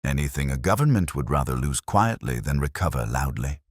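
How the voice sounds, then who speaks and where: A man playing a grave, calm, commanding Victorian detective, speaking in a measured, quietly incisive way in an audio mystery. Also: extremely low pitch, no room sound, clean studio sound.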